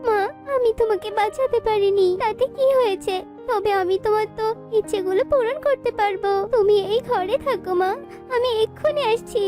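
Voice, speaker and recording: A woman's voice: very high-pitched, moderate pace, over music. A woman voicing a devoted young daughter bird, plaintive and earnest, in a children's cartoon melodrama. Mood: earnest, resolved.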